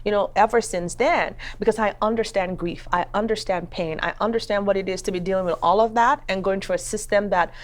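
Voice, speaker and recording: A woman with a Nigerian accent telling a personal story in an interview, speaking in an expressive, conversational way. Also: mid-range voice, fairly quick, hardly any pauses. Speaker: attorney and judicial candidate.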